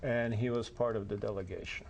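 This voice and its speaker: A man's voice: fairly low pitch, fairly even intonation, fairly quick, gravelly, darkish tone, slight background noise. A man who is a folklorist, helping the guest by translating and filling in the story. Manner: helpful interpreter, fills in translations. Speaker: folklorist sitting in.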